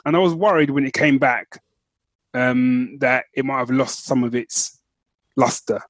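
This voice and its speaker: A man's voice: medium pitch, steady tone, unhurried, no echo. A man co-hosting a podcast, chatting casually as he reviews shows, choosing his words carefully and repeating phrases for emphasis. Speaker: podcast co-host.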